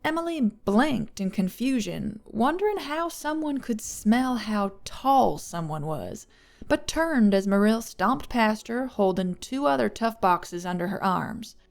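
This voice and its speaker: A woman's voice: on the high side, lively intonation, a little slow, gravelly. A woman reading scripted third-person fiction as an audiobook narrator.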